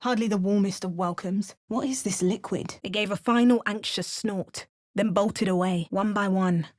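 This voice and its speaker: A woman's voice: mid-range voice, steady tone, normal speed, lightly acted. A woman voicing a determined adventuring heroine in a fantasy game, giving short wry remarks and narrating in the first person. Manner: clipped asides, first-person narration and shouted spell incantations. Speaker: player character in a fantasy video game. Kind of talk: recorded game voice lines.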